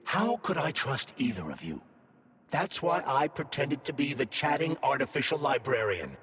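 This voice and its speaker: A man's voice: mid-range voice, big pitch swings, a bit bright, in a large hall, slight background noise. A man playing a sentient glove that holds a dead scientist's mind, formal and coolly vengeful, in a video game cutscene. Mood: cold, candid. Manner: articulate, formal, measured explaining.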